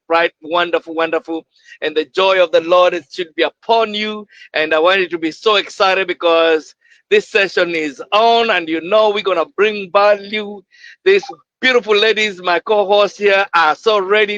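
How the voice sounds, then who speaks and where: A man's voice: high voice, pushed and bright, no echo. A man, hosting a live online session with an exuberant, repetitive, preacher-like cadence. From Kenya. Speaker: host. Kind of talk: enthusiastic livestream hosting. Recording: dull lo-fi livestream audio.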